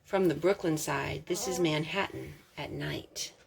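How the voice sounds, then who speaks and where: A woman reading a picture book aloud as a storytime host, expressive, drawing out words for emphasis. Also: sits low, a little slow, creaky, a crowd in the background. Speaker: storytime reader.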